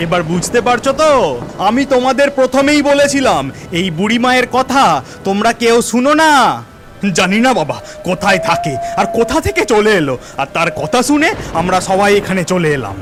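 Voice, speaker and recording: Guy doing a worried grumbly cartoon villager man, really really high voice, doesn't trust anybody. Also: sing-song, a little fast, music in the background. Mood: distrustful, accusing. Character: anxious, distrustful, complaining village man. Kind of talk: cartoon voice acting.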